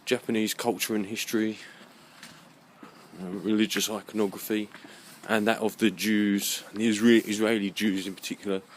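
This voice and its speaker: A man giving a rambling, unscripted monologue in a halting, musing way, often repeating his phrases. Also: on the low side, flat delivery, a little hiss or hum. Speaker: vlogger.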